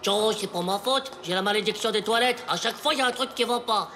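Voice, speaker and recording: A woman's voice: medium pitch, fairly quick, a little hiss or hum, natural voice. A woman voicing a dramatic, put-upon ten-year-old schoolboy in a French cartoon, with whiny, indignant, slangy outbursts. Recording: loud music behind the voice.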